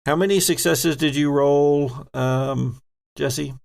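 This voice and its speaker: Gravelly male game master, unhurried. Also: fairly high pitch, steady tone, darkish tone. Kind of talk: narrating a tabletop horror game.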